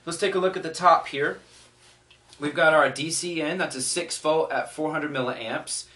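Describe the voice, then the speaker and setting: A man's voice: on the high side, moderate pace, bright, forceful tone. A man giving a casual unboxing review, thinking aloud in a conversational way. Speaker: product reviewer. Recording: distant mic, faint noise, clicks.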